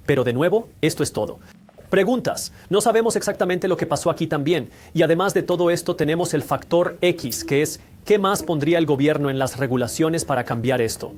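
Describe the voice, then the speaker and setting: A man hosting a finance channel, explaining things directly to the viewer in a rapid, no-nonsense delivery. His voice sits somewhat high for a man and moves along quickly from point to point. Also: a little mellow. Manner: brisk, explanatory, speaks straight to viewers.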